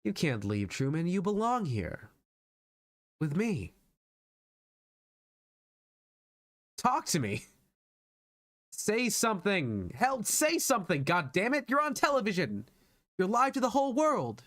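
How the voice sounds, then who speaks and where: A man's voice: high-pitched, huge pitch swings, fairly bright tone, no room sound, clean studio sound, pitched above the performer's own voice, exaggerated voice. Man acting a controlling, fatherly TV creator. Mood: pleading, demanding. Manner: measured, grandiose, coaxing. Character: calm, controlling, fatherly male TV show creator. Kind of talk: dramatic film monologue practice.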